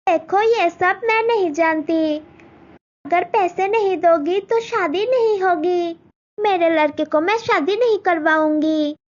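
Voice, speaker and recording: A woman's voice: very high voice, slow. A woman voicing a greedy, blunt groom's mother in a cartoon. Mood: haughty. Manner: curt, demanding. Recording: dull lo-fi recording.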